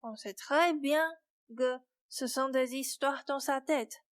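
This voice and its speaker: Woman as young heroine in a radio play, really slow talker, slightly high voice, gentle but frank. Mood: frank, concerned. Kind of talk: student radio play acting.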